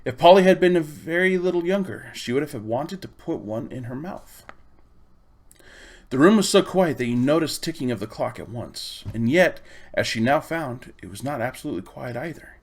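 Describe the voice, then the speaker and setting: A man reading a children's storybook aloud in expressive, measured phrases. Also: mid-range voice. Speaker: audiobook reader. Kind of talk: storybook narration.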